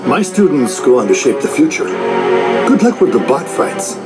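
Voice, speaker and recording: Guy as older professor in a cartoon film, authoritative, lively pitch, music loud behind. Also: moderate pace, natural voice. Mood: challenging. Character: older male professor, proud, challenging, authoritative. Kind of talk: animated film dialogue. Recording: dialogue over loud music.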